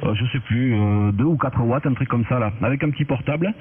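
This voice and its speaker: A man's voice: mid-range voice, brisk. Guy chatting on ham radio, repeats words a bit, phone-like sound w/ faint noise behind. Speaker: amateur radio operator. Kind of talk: casual radio chat. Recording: narrow tinny radio link with hiss.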